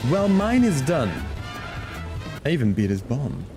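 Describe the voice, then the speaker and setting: A man's voice: medium pitch, big pitch swings, unhurried, over music. A man streaming a game with casual, muttered commentary and reactions.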